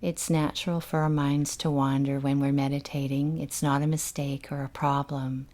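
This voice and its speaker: A woman leading a guided meditation in a gentle, unhurried, soothing manner, leaving long pauses between instructions. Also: very deep voice, flat delivery.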